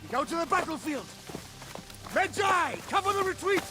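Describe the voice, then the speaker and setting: High-pitched, imperious Roman general. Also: male voice, very animated, moderate pace. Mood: rousing, shouting. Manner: imperious, declamatory. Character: arrogant Roman general, commanding and theatrical. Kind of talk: dramatic video game acting. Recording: echoey, long reverb, music behind.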